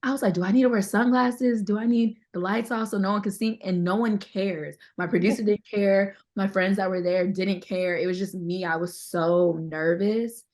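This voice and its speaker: A woman with a deeper voice, chatting casually and candidly as an interview guest, often repeating herself for emphasis. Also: fairly even intonation, normal speed, hardly any pauses, a little mellow. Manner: candid, conversational, emphatic repetition. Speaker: interview guest, singer-songwriter. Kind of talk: casual chat.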